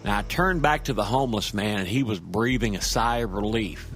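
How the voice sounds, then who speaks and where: An actor playing a nervy, rambling street-wise man who tells a horror story in a halting, stammering, conversational way, with music behind him. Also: on the low side, fairly bright tone, close to an everyday voice. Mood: rueful, earnest. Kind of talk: audio drama first-person horror monologue.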